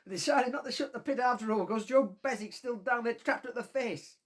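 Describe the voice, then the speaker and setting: A woman playing an anxious young male miner in a radio play, blunt and wry, with a husky voice and a Northern English accent. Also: a little high, a little fast, close to an everyday voice. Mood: fearful, sardonic. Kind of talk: radio drama acting.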